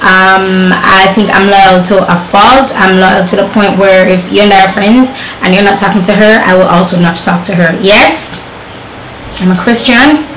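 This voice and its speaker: A woman's voice: medium pitch, steady tone, measured pace, a bit bright. A young woman from Jamaica talking casually to camera as a beauty vlogger, rambling with fillers and restarts. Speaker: beauty vlogger reviewing hair. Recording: echoey room with faint noise.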